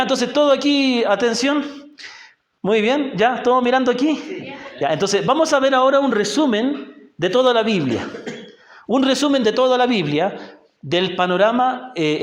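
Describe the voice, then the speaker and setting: A Chilean man with a high voice leads a Bible class as a missionary teacher. He talks patiently, putting questions to his listeners and checking that they follow. His voice carries through an echoey church hall. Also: big pitch swings, normal speed. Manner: patient, asks the group questions, often checks with "¿ya?". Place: church hall in a rural community.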